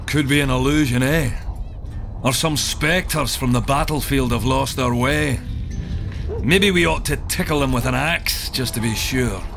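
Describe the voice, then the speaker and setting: Gravelly, sceptical young dwarf. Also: male voice, medium pitch, measured pace, a bit bright. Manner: blunt, doubting. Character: sceptical, blunt, bristly younger male dwarf. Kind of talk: video game character acting. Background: music.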